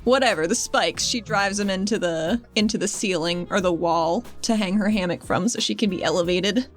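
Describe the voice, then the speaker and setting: Mid-pitched woman describing her game character. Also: normal speed, faint music behind. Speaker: player on a tabletop RPG podcast.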